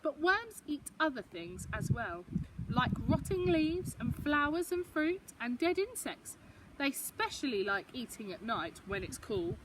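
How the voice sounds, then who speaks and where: A woman's voice: high-pitched, very animated, a little slow, noisy background. A woman voicing a kindly, knowing grandmother from a children's storybook, explaining things patiently. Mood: enthusiastic, explaining. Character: knowing, kindly grandmother who loves worms. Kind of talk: children's storybook character reading.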